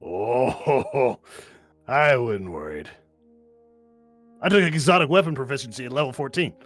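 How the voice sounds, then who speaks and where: A man's voice: medium pitch, lively intonation, a little slow, a bit bright, faint music behind. A man playing a tabletop game, joking casually as he role-plays.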